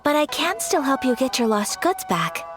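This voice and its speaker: Graceful young noblewoman, formal, measured, a bit high, music underneath. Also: sing-song. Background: game music.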